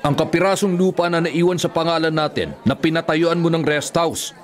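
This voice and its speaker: A bitter older patriarch played by a man in a radio drama, with a somewhat high-pitched voice. He is stern and domineering, pressing his point like someone used to command. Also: normal speed, close to an everyday voice. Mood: angry, commanding. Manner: stern, insistent. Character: bitter, domineering older father, fallen ex-mayor.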